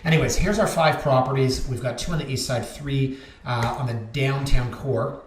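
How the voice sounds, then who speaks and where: Brief: realtor in his thirties, chatty and self-mocking, mid pitch, recorded in a roomy space. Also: moderate pace, a little hiss or hum. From Canada. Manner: chatty, joking, self-deprecating. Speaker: realtor and vlog host. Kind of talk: casual rambling talk to camera. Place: office.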